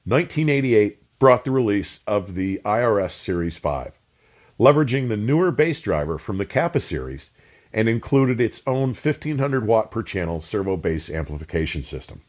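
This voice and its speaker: Low-pitched man narrating with sweeping intonation. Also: sing-song, moderate pace. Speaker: host and narrator of an audio review channel. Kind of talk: scripted narration, documentary-like product history.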